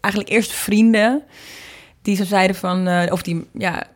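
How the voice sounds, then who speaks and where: A woman's voice: mid-range voice, steady tone, moderate pace. Young woman, Netherlands Dutch accent, mid-range voice. Informal interview guest, chatty, breaks off and restarts thoughts. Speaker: interview guest, singer and singing teacher. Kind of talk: casual conversational interview.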